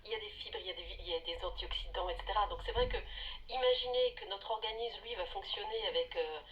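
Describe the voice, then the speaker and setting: A woman's voice: mid-range voice, quick pace, highs cut off. A woman talking enthusiastically and chattily as a food guest in a casual radio discussion. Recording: radio sound with clear background noise.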